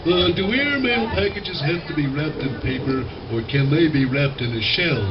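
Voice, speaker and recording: A man voicing a slow, folksy old male tortoise who schemes and chuckles. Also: fairly high pitch, expressive, background noise, close to an everyday voice. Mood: scheming. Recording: dull old record under loud music.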